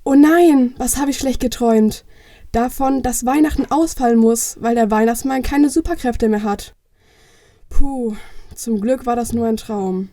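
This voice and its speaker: A woman's voice: a little high, unhurried, mellow, reverberant. A woman playing a curious, plucky six-year-old girl with an eager, exclaiming manner in a children's radio play. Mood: startled, worried.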